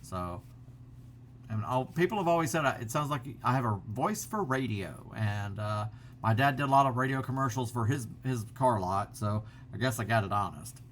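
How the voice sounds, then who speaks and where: A man's voice: mid-range voice, expressive, moderate pace, a bit bright, slight background noise. Rambling man with a drawl. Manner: rambling, many fillers and restarts. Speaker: vlogger. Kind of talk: casual chat to camera. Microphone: built-in laptop camera mic.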